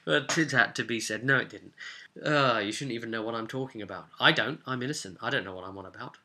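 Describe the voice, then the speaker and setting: A man talking casually as a game master and video host, rambling through a recap of a tabletop session with animated, emphatic repetition and rhetorical asides. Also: medium pitch, fast talker, slight background noise.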